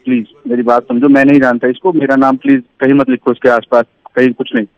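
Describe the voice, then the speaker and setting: On a dull, dry phone line, a man protests and repeats himself in casual talk. His pitch is mid-range and moves about in a lively way. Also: fast talker, gravelly, dry recording. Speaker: prank call victim. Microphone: telephone.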